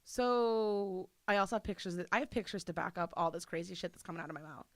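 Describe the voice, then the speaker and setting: A woman's voice: on the low side, fast, no echo. A woman in her thirties from Maine, chatting casually as an interview guest and telling stories with plenty of "like" and swearing. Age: 30s. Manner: chatty storyteller, swears freely, lots of "like". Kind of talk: casual chat.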